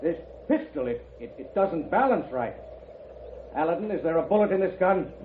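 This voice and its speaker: A man's voice: a little high, lively intonation, normal speed, lightly acted. An actor playing a proud, agitated older father out for vengeance, insistent and melodramatic in a 1950s radio western. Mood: indignant, demanding. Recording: noisy, echoey narrow-band old radio recording.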